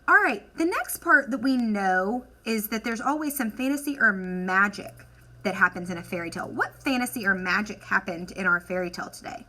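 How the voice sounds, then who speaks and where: A woman teacher leading an instructional lesson for children, asking questions, encouraging answers and dictating deliberately as she writes. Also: medium pitch, expressive, sharp tone. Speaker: elementary school teacher.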